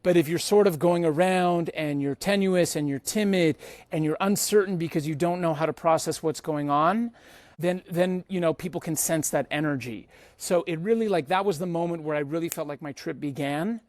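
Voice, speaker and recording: A man's voice: on the high side, moderate pace, a little mellow, no room sound. A man hosting a podcast, telling personal travel stories in a casual, slangy way and acting out bits of dialogue. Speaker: podcast host.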